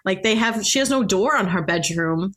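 Woman chatting on a book podcast, mid pitched voice, amused and opinionated, super casual. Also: animated, normal speed, fairly bright tone. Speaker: book club podcast co-host.

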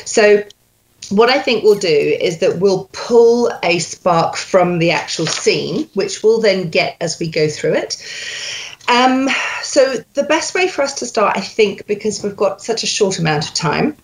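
A woman's voice: mid-range voice, lively intonation, a little slow, low-quality recording. A woman working as a dialect coach, explaining her method step by step in a cheerful tone over a video call. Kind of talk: coaching session.

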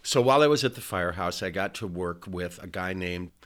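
This is a man in his sixties, reminiscing as a guest in an unhurried interview. His voice sits somewhat low, and he rambles, wandering off the subject and then circling back. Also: expressive, fairly bright tone. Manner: rambling, digressive storyteller.

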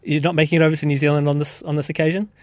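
A man's voice: fairly high pitch, little pitch movement, very fast, dry recording. A man hosting a business interview, reading a scripted introduction and then asking friendly questions. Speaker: podcast interviewer.

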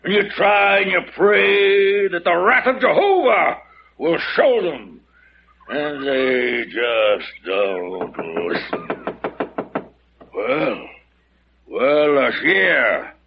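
An actor playing a drunken old bayou preacher, declaiming scripture slowly with a slurred, gravelly delivery in an old radio drama. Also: male voice, on the high side, very animated, exaggerated voice. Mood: drunken, mournful. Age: older. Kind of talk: 1940s-50s radio drama acting. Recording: muffled noisy old radio recording.

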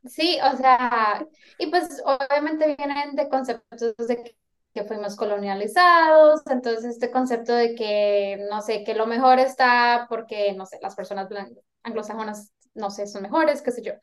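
This is a Colombian woman in her thirties with a fairly high voice, chatting as an interview guest. She thinks aloud as she goes, earnest and a little rambling as she feels her way through her points. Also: a little slow. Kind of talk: casual interview chat.

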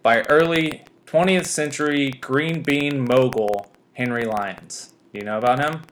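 A man's voice: medium pitch, unhurried, low-quality recording. A man from Tennessee hosting a casual podcast, chatty, reading facts aloud to his guest. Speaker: podcast host.

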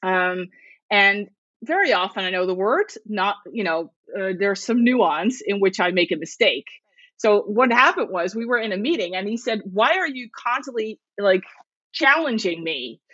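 A woman's voice: medium pitch, animated, moderate pace, a bit bright, no room sound. Dutch-native woman, dry dull sound. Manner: thoughtful, with many restarts and fillers. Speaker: podcast guest. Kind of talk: casual interview chat.

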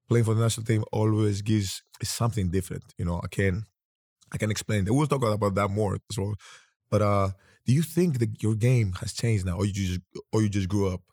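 A man's voice: on the low side, no echo, clean studio sound. A man in his thirties from Greece, with a Greek accent, hosting a casual interview and rambling through his stories with frequent restarts. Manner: relaxed, rambling, restarts often. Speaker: podcast host.

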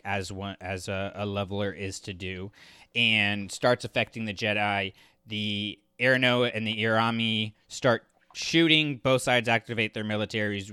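Clear, bright-voiced man hosting a podcast. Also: on the low side, dry recording, studio quality. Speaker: podcast co-host. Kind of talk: casual book discussion and recap.

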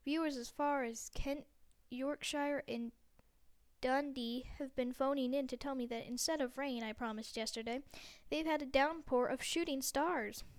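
A woman's voice: high voice, steady tone, normal speed, clean tone, lightly acted. A woman reading aloud, voicing a chatty, jokey male television weatherman. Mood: breezy. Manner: breezy, jokey.

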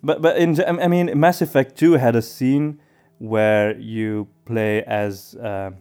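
A man co-hosting a podcast in casual discussion, with halting phrasing that often doubles back on words. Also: medium pitch, fairly even intonation, darkish tone, clean tone. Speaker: podcast co-host.

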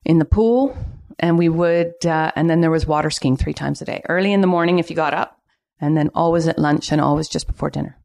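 A middle-aged woman with a Canadian accent, chatting casually and reminiscing as an interview guest, quick to laugh. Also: deep voice, creaky, a little mellow. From Vancouver, Canada. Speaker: podcast guest, cookbook author.

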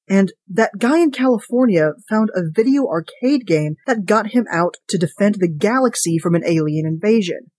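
Podcast reader as wry ordinary narrator, sardonic, conversational, put-upon, mid pitch, dry sound. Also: darkish tone, no room sound, close to an everyday voice. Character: wry, chatty, put-upon ordinary fridge-portal owner. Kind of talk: first-person short story reading.

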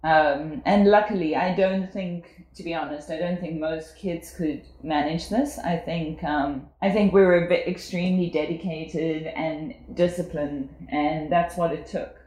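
A South African woman in her forties speaks in a low voice. She talks reflectively, pausing on fillers, and the sound rings around an echoey room. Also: fairly even intonation, darkish tone. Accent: South African English. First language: English. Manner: reflective, with frequent fillers and laughs. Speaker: guest. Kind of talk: casual conversational interview.

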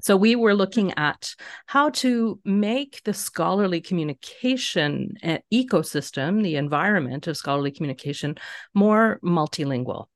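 A woman with a low-pitched voice speaks as an interview guest, friendly and thoughtful, laughing easily between points. The sound is dry and close, with no room around it. She talks like a middle-aged academic explaining her research. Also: animated, normal speed, dry recording. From Canada. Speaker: translation technology professor, guest. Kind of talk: interview answers.

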